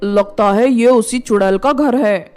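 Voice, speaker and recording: A woman's voice: fairly high pitch, a little slow, darkish tone, smooth, faint music behind, close to an everyday voice. A woman voicing a stern, commanding male village exorcist in a storybook horror tale, making grave pronouncements. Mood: alert. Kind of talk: storybook character voice.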